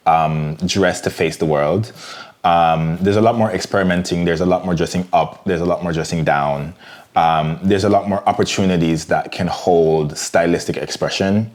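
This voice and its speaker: A man's voice: sits low, darkish tone. A man from the Caribbean, a guest in an interview, talking things through thoughtfully with many fillers and hedges. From Jamaica and the Cayman Islands. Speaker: guest, fashion designer. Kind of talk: casual interview conversation.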